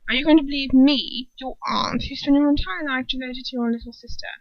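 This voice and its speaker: A cruel storybook witch aunt, as a woman reader puts her on, high-pitched and manipulative. She moves between shrill menace and soft coaxing. The recording is dry and lo-fi. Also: fairly even intonation, fairly quick, dry recording. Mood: quietly menacing. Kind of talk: amateur fiction read-aloud.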